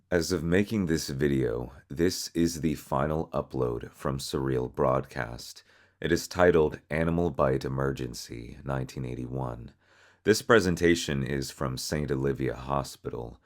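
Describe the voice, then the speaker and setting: A man with a strikingly deep, bottom-register voice hosts an explainer. He narrates in a measured, explanatory manner, moving between scripted delivery and looser commentary. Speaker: host explaining a horror web series.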